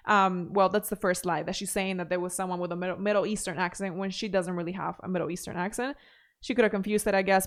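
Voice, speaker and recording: Chatty woman commentary host. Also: medium pitch. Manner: chatty, reads posts aloud with asides. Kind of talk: drama commentary reading posts.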